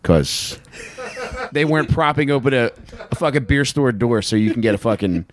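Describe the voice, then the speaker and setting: A man's voice: medium pitch, lively intonation, moderate pace. A man in his thirties chatting casually and bluntly as a comedic true-crime podcast co-host, swearing freely, close on a handheld mic. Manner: blunt, profane. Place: small indoor podcast room on couches. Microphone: handheld microphone held close.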